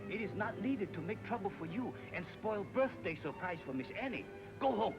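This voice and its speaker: A man's voice: high voice, big pitch swings, fairly quick. An actor playing an anxious townsman accused of murder in an old television western, protesting in clipped broken English. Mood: urging. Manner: clipped broken English, speaks of himself by name. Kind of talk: 1950s television western acting. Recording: muffled old TV soundtrack, loud music.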